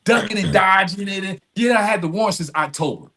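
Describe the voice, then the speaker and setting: A man's voice: high-pitched, fairly quick, bright, forceful tone. A man speaking as a podcast guest in earnest, conversational storytelling, repeating phrases for emphasis and often checking in with his listener. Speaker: podcast guest, advocate and executive director.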